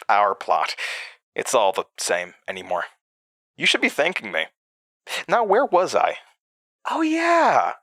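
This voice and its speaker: A man's voice: mid-range voice, bright, forceful tone, dry recording, clean studio sound, full character voice. An actor playing a malevolent entity with a man's borrowed voice, mocking and theatrical in a horror audio drama. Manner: mocking, sardonic, theatrical, toying menace.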